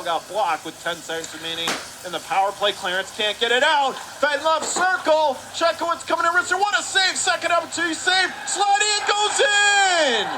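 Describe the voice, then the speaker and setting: Male hockey announcer, noisy arena. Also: very high voice. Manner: rapid calls rising to shouts on big plays. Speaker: radio play-by-play announcer. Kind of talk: live hockey play-by-play. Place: hockey arena broadcast booth.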